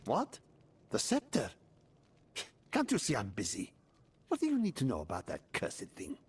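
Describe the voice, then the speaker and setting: A man's voice: medium pitch, wildly swooping pitch, moderate pace, slight background noise. A man voicing an irritable scholarly relic expert in a video game, curt, formal and grimly cautionary. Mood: exasperated.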